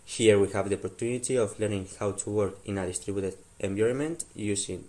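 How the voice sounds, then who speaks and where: A man's voice: on the low side, steady tone, fairly quick, mellow. A young man, a student, introducing a technical project in a scripted presentation. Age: young adult.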